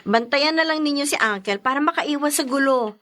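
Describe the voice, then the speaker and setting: A woman's voice: high voice, fairly quick, bright, forceful tone. A woman playing a pleading, peacemaking Filipino wife in an audio drama. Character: pleading, peacemaking married woman torn within family. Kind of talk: Filipino radio-style drama acting.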